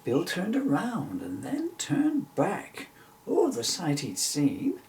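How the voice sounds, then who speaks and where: Highly animated, unhurried male storyteller. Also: far from the mic, slight background noise. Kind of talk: expressive children's story-poem reading.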